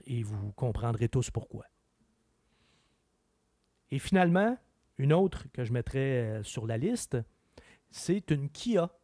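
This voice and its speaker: A man with a Québécois French accent, a car expert chatting on a radio show in an opinionated, animated way. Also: medium pitch, slow pace, long pauses, dry recording, studio quality. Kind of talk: casual chat.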